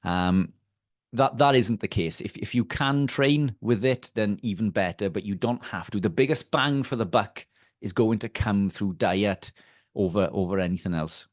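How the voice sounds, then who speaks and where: Welsh man, low voice, dry close sound, hesitant guest on a live call. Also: moderate pace, no echo. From Wales. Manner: hesitant, many fillers and restarts. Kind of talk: casual explanatory chat.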